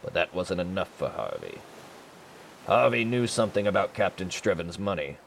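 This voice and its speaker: An actor playing an ancient, weary sea captain telling a tale in a brooding way for a radio drama. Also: male voice, sits low, very animated, normal speed, vocal fry, slight background noise, heavily acted, rough recording.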